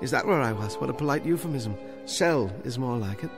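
An actor playing a bookish, principled librarian on trial in a radio drama, speaking earnestly and articulately. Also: male voice, medium pitch, lively intonation, fairly quick, close to an everyday voice. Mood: wry, resigned. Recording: reverberant hall, music underneath.

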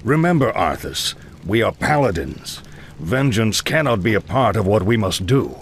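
A man playing a stern, fatherly old paladin mentor in a fantasy game, measured, grave and commanding. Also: lowish voice, very animated, slight background noise.

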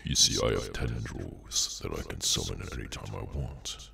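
A man voicing a weary, menacing demonic henchman in an ASMR audio roleplay, sardonic and low-key, with a gravelly voice. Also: expressive, bright, forceful tone, slight background noise, heavily acted. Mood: calm menace. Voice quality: very deep, gravelly, creaky. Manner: slow, low-key, casually sinister, sardonic.

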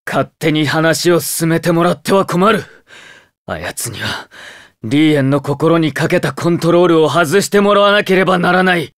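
An actor playing an earnest, protective young demon martial artist in an anime game, solemn and formal. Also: male voice, fairly high pitch, normal speed, no echo, studio quality, close to an everyday voice. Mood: firm, protective.

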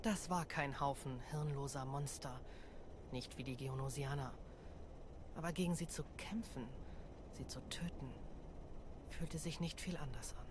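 A resolute female Jedi commander in a game cutscene. Her voice is deep for a woman, serious and stern, with clear noise behind it. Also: measured pace, long pauses. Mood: troubled. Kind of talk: dubbed video game cutscene acting.

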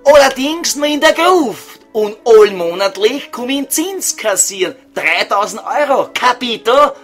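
A man's voice: very high voice, animated, measured pace, fairly bright tone, faint music behind, full character voice. A man playing a cheeky, foul-mouthed estate agent in a comedy audio sketch, talking colloquially with a Viennese accent. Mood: smug. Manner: crude, wheedling, colloquial.

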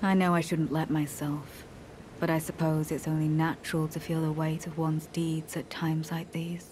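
An actress playing a coolly ruthless, devout woman knight in a fantasy game, speaking formally and with measured solemnity. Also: deep voice, level tone, a little mellow, slight background noise, close to an everyday voice. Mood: grave, measured. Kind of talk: scripted fantasy game dialogue.

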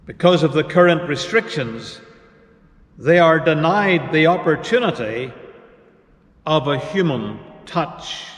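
A man preaching a sermon as pastor, measured and unhurried with pauses between phrases. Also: fairly high pitch, lively intonation. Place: church. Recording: echoey church hall reverb.

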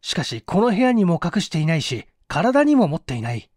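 A man voicing a hot-headed, sharp-reasoning man in an audiobook mystery, speaking forcefully and emphatically. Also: on the high side, big pitch swings, no echo, studio quality. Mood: insistent reasoning. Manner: forceful, emphatic, quick to conclude.

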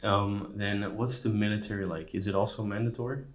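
A young man hosting a podcast interview in a studio, asking short, soft-spoken questions. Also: lowish voice, steady tone, brisk. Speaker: podcast host. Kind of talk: casual interview. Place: studio, indoors. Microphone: studio mic on boom arm.

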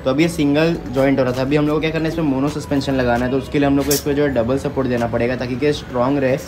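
A man's voice: medium pitch, steady tone, very fast. A young man giving a casual step-by-step walkthrough of a motorbike build in a garage workshop, mixing in English words as he explains to the camera. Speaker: vlogger building a custom motorbike. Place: metal-walled garage workshop, indoors. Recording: music playing under the voice. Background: background music.

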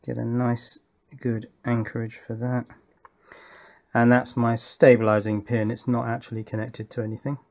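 A man's voice: medium pitch, little pitch movement, normal speed, creaky, a little mellow. Man thinking aloud at his workbench. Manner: thinks aloud, asking himself questions. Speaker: electronics hobbyist presenter. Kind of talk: casual hands-on workbench commentary. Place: indoor workbench.

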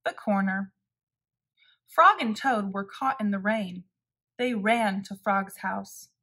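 A woman reading a children's story aloud as narrator, careful and clearly paced. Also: medium pitch, takes it slowly, sharp tone.

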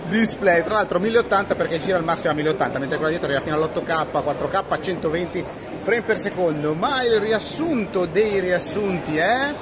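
A man's voice: high voice, brisk. A man, chatting casually and animatedly to camera as a tech vlogger, recorded on a handheld phone. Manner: animated, joking, conversational. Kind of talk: casual chat to camera while demonstrating products. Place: large, busy trade fair hall. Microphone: handheld phone at arm's length. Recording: busy fair crowd noise behind.

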